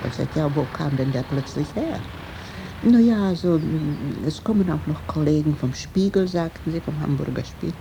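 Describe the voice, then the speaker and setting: Older female interviewee, very creaky voice, halting reminiscence, noisy location with traffic sounds. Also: low voice, lively intonation. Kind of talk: spontaneous reminiscence.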